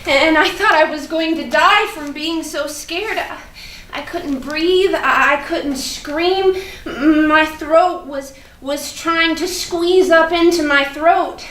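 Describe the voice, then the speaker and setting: Woman acting as innocent little schoolgirl, slow halting childlike delivery, fearful, stage monologue, distant noisy recording. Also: sits high, a bit bright, echoey room. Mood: frightened. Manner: childlike, halting, repeats words for emphasis. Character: innocent, chatty, fearful little first-grade girl. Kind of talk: theatrical monologue at a staged reading. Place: indoor room at a live staged reading.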